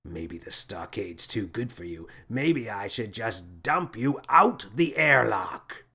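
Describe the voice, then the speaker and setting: Wildly swooping, excitable space captain. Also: male voice, lowish voice, takes it slowly, slightly rough, heavily acted. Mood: snarling menace. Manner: theatrical, exclamatory, swinging from menace to delight. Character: mad, excitable, childishly gleeful male space captain. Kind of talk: children's space adventure audiobook.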